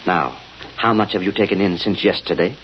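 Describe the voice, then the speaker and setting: An actor playing a shrewd, controlling fake spiritualist, formal and measured, in old-time radio drama style. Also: male voice, deep voice, close to an everyday voice. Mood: cold, commanding. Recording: dull, hissy old radio recording.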